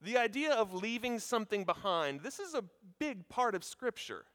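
A man in his thirties from the United States preaches a sermon in a large hall, and the long reverb hangs after his loud, projecting voice. He pitches high and lively, swinging his intonation up and down as he talks with the congregation. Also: normal speed. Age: 30s. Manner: conversational, animated, addresses listeners directly. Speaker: preacher at a seminary chapel. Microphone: handheld or lapel mic.

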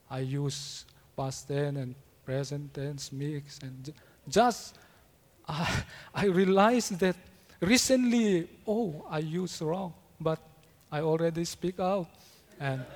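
A man who is not a native English speaker preaching a sermon, in halting English with frequent restarts. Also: a little high, darkish tone. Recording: long hall reverb.

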